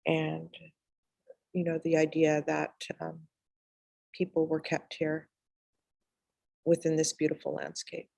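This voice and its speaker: A middle-aged woman presents her own work as a guest artist. Her voice is very low with a lot of vocal fry, and she speaks in a monotone, pausing tentatively. The sound is dry and close, as on an indoor video call. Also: no room sound. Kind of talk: slide lecture about own work. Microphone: video call microphone.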